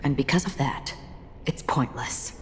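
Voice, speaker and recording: An actress playing an enigmatic, stoic swordswoman in a video game cutscene, speaking gravely and with composed deliberation. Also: extremely low pitch, measured pace. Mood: grave, gentle. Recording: long hall reverb, faint ambience noise.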